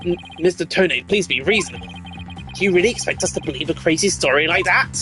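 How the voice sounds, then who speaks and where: An elderly game judge, put on by a man, earnest and easily flustered. The voice is solemn and stammering, pitched a little high, with music behind. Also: expressive, fast talker.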